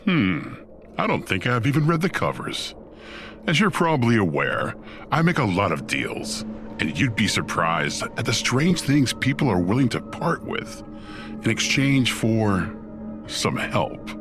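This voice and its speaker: An actor playing a smug, flippant Satan in an audio drama, glib and slangy like a scheming boss. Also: male voice, lowish voice, big pitch swings, unhurried, over music. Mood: smug, dismissive.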